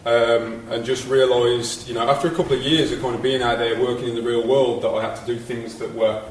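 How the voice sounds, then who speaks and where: A man giving a candid, relaxed talk as guest lecturer about his own career, with frequent pauses and ums. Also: mid-range voice, slight background noise. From England. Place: college hall. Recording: echoey hall from far off.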